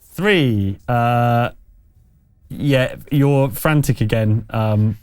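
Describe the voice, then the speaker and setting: A man's voice: mid-range voice, drawn-out words, darkish tone, smooth, dry recording, studio quality. A man running a tabletop roleplaying game, narrating off the cuff and chatting casually, with hesitant, trailing phrasing and self-corrections. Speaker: game master.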